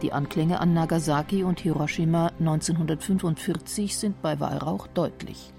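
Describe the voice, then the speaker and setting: A woman narrating a radio documentary script in a measured, explanatory manner. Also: sits low, fairly even intonation, vocal fry, warm tone, soft background music.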